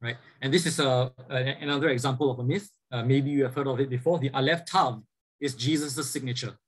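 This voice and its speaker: A man's voice: mid-range voice, fairly quick, slightly rough, low-quality recording. A man giving a church lecture as a Bible teacher, earnest, asking his listeners rhetorical questions and often restarting his sentences. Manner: frequent fillers and repeated restarts. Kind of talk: church lecture over slides.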